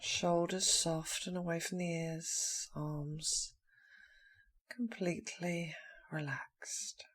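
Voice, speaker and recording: Low, breathy woman guiding meditation. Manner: calm, slow phrases with long pauses. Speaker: meditation teacher. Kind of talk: guided meditation.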